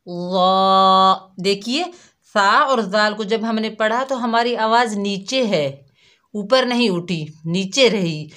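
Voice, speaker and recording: A woman with a Pakistani Urdu accent teaches children in an unhurried classroom manner. She repeats herself patiently and draws out the sounds she demonstrates, at a steady middle pitch. Her delivery stays slow and deliberate throughout. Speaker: Quran recitation teacher.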